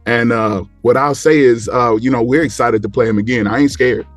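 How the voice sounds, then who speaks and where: A man's voice: mid-range voice, a little fast, no room sound, soft background music. A man in casual sports talk as a panel guest, rambling along and repeating phrases for emphasis.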